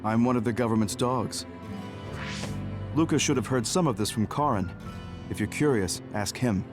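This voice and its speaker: A man playing a composed, guarded senior officer in a video game, talking in a measured, explanatory way. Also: medium pitch, over music, natural voice. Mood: grave, composed. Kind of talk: English video game voice acting.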